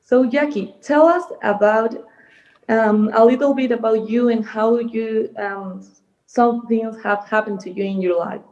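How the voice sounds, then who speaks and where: A female moderator reading a prepared introduction in a formal, slightly halting manner over a video call. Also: a little high, fairly even intonation, darkish tone, lo-fi sound. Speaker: webinar moderator.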